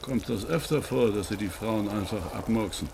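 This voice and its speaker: An actor in a German radio play as an annoyed, blunt crook passing on orders in colloquial speech. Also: male voice, on the low side, normal speed, creaky, background noise. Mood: reproachful. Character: gruff male crook, annoyed henchman giving orders.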